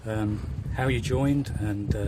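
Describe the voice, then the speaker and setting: A man hosting a sailing vlog, relaxed, interviewing a crewmate with easy backchannels. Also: fairly low pitch, takes it slowly, noisy background. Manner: relaxed, frequent "yeah" backchannels. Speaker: vlogger, yacht delivery crew. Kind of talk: casual vlog narration and interviewing. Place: on deck of a yacht at sea, outdoors.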